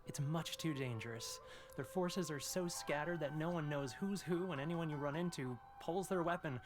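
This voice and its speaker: A man's voice: fairly high pitch, moderate pace, natural voice. Rattled refugee man played by an actor, music behind. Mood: frustrated, anxious. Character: weary, rattled male war refugee. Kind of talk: video game dialogue.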